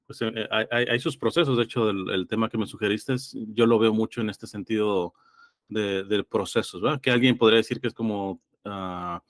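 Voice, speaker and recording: A man's voice: fairly low pitch, fairly even intonation, moderate pace, dry recording, studio quality. A man with a slightly congested throat, speaking hesitantly and reflectively as an interview guest in a theological conversation. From Los Angeles, California. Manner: hesitant, reflective, explains through examples and citations.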